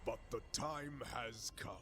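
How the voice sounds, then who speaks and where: An actor playing a stern, solemn alien zealot in a video game, speaking in a formal, grandiose way. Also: male voice, medium pitch, takes it slowly, lightly acted. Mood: solemn threat. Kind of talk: video game cutscene acting.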